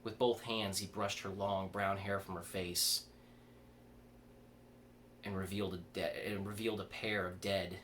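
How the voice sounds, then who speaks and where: Low-voiced man reading horror fiction, background noise. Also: level tone, measured pace, pauses often, a bit bright. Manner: stumbles and rereads words. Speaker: author reading own fiction aloud.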